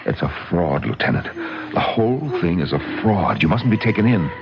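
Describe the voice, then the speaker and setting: An actor playing a nervous, defensive and scornful nephew in a 1970s radio drama, agitated and sardonic. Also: male voice, sits low, animated, fairly quick, crowd noise. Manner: agitated, sardonic, hesitant when cornered. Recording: dull old radio broadcast, loud music.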